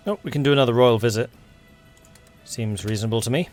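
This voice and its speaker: A Scottish man talks through a strategy game in relaxed let's-play fashion. His voice sits at an ordinary middle pitch, and he drops in dry jokes as he goes, with quiet music under him. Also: fast talker. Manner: chatty, wry, joking asides. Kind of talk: casual let's-play commentary.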